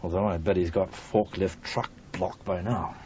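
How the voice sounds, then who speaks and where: An actor in a radio drama playing a jaded, burnt-out literary editor with a wry, wordy, bitterly witty manner. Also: male voice, steady tone, normal speed, mellow, natural voice. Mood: wry, rueful. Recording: dull muffled recording with rumbling noise.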